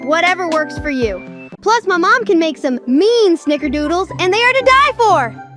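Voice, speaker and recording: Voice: very high-pitched, sing-song, moderate pace, music in the background. An actor voicing an upbeat, polite and talkative child hero in a cartoon comic dub. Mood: friendly, eager. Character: chatty, upbeat, polite plucky kid hero.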